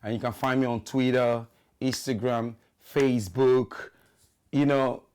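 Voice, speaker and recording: A man's voice: mid-range voice, fairly even intonation, unhurried, darkish tone, clean tone. A young Nigerian man, a musician guest, talking loosely in a casual interview and often saying "you know what I mean".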